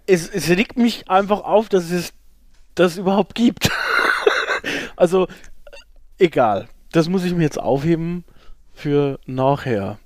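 A man's voice: on the high side, slow pace, a little mellow, no room sound, clean studio sound. A middle-aged man, chatting casually and enthusiastically as a podcast co-host in colloquial language.

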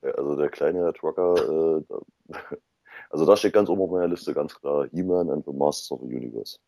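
A man, chatting casually as a podcast guest in a halting, enthusiastic way. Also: a bass voice, gravelly, dark tone, no room sound, studio quality. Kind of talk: casual chat.

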